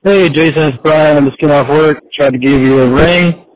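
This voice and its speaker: A man's voice: a little high, steady tone, brisk. A laid-back man rambling casually as he leaves a voicemail over the telephone. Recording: noisy, clicky narrow phone line.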